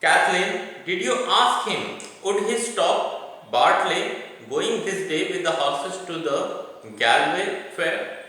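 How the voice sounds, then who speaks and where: A man with an Indian accent teaching English literature, reading a play aloud and explaining it deliberately, repeating words and phrases for emphasis. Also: sits high, expressive, pushed and bright. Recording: echoey hard-walled room, distant microphone.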